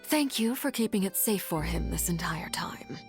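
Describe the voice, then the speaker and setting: An actress playing a commanding, enigmatic woman of high rank in a video game, speaking in a measured, formal, coolly intimidating way. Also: expressive, vocal fry, faint music behind. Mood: composed, courteous. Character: commanding, enigmatic female harbinger, called Father by her wards. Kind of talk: dramatic video game voice acting.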